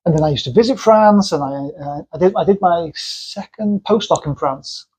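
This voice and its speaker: A man's voice: sits high, moderate pace, a little mellow, slightly rough. A middle-aged man, hosting a podcast interview and teasing his guest playfully. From Wales. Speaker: podcast host. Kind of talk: casual interview chat.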